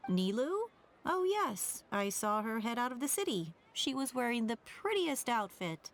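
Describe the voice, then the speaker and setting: A pleasant townswoman in a video game. Her voice is fairly high and lively, with a chatty, helpful manner. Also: unhurried. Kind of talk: video game character voice acting.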